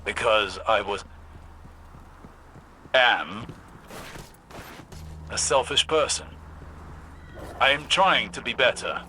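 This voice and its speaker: An actor playing a proud, remorseful old-world warrior in a video game, speaking formally and deliberately. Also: male voice, medium pitch, animated, pushed and bright, slight background noise. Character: proud, remorseful ancient warrior haunted by his past. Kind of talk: scripted video game cutscene acting.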